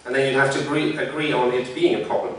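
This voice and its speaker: A man, a phonetician, giving a conversational conference talk, thinking aloud with frequent pauses. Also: medium pitch, little pitch movement. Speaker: symposium speaker, phonetician. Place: large lecture room. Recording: very echoey hall, mic far off.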